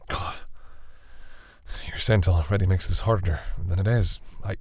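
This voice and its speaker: Man acting a deep-voiced, flustered vampire boyfriend. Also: darkish tone, breathy edge, no room sound, full character voice. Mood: tender, torn. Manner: hesitant, stammering, easily embarrassed. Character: flustered, shy, protective male vampire boyfriend. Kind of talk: intimate audio roleplay, acted one-sided dialogue.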